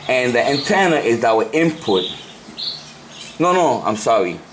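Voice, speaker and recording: A man with a Puerto Rican accent and a mid-pitched voice walks through a hands-on demonstration. He speaks casually and haltingly, often repeating or correcting himself. The amateur recording has clear background noise. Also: sing-song. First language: Spanish. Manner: halting, repetitive, self-correcting. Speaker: amateur radio hobbyist giving a tutorial. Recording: noisy amateur camcorder sound.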